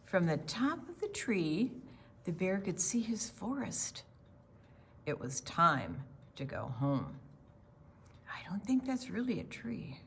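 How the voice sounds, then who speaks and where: Slow, animated woman reading a picture book. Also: fairly low pitch, creaky, slight background noise. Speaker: children's storytime reader. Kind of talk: expressive picture-book read-aloud.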